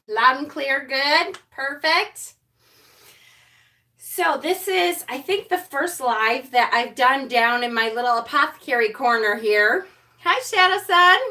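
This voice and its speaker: A woman with a fairly high, sharply bright voice hosts a casual live stream, loud and chatty as she greets her viewers. She stands a few feet back from the camera in a small room at home, so her voice sounds a little distant. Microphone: camera set a few feet away.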